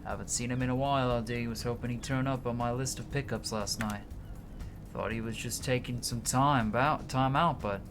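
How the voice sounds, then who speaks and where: A man's voice: medium pitch, fairly even intonation, fairly quick. A man streaming a game, reading its dialogue aloud in a casual way and stumbling now and then, with side comments of his own. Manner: casual, stumbles and rereads as he goes. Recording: music behind the voice. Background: game music.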